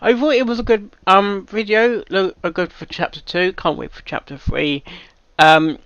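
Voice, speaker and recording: A man hosting a reaction video, giving casual off-the-cuff commentary in a halting, rambling way and often repeating himself. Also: high voice, steady tone, fairly bright tone, clear, resonant tone, dry recording, highs cut off.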